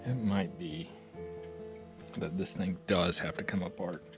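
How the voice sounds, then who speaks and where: A man's voice: deep voice, fairly even intonation, measured pace, music in the background. A man giving casual, hands-on repair commentary, rambling and thinking aloud in a folksy way. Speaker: audio repair vlogger.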